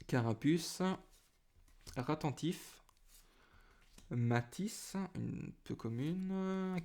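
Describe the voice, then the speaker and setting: A man's voice: a little high, darkish tone. A man chatting to his viewers while opening card packs, talking casually with many hesitations and self-deprecating, apologetic asides. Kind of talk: casual chat to camera.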